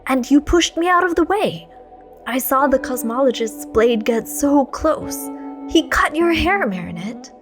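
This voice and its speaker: A woman's voice: high voice, expressive, a little slow, faint music behind, voice pushed up. A woman voicing a hot-tempered, self-sacrificing teenage boy hero in story dialogue, taut and terse. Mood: angry, upset.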